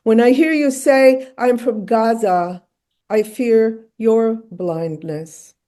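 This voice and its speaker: A woman reciting a spoken-word poem in a slow, measured, deliberate way. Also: a little high, dark tone.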